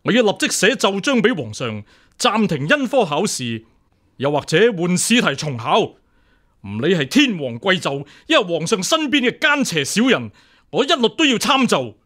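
A man's voice: sits high, very animated, normal speed, a bit bright, full character voice. A male actor with a Hong Kong Cantonese accent playing an upright, stubborn deputy examiner, earnest, formal and insistent, in a radio drama. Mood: righteous, defiant.

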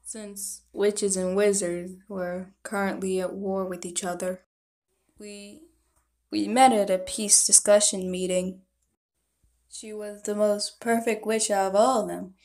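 A woman voicing a lovestruck, teasing male wizard of darkness in a fan dub, with soft, unhurried endearments. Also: medium pitch, little pitch movement, close to an everyday voice. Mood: wistful, loving.

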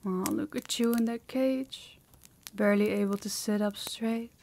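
Slow, haughty demon mistress, voiced by a woman. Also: mid-range voice, fairly even intonation, darkish tone, close to an everyday voice. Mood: taunting, possessive. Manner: slow, haughty, commanding, taunting. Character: harsh, dominant, sadistic female demon, possessive and menacing. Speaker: voice actor. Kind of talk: intimate scripted audio roleplay.

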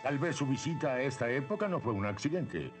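A man's voice: mid-range voice, lively intonation, fairly quick, soft background music, lightly acted. An actor playing a dignified but good-humoured middle-aged king in a Latin American Spanish cartoon dub. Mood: thoughtful. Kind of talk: animated series dubbing.

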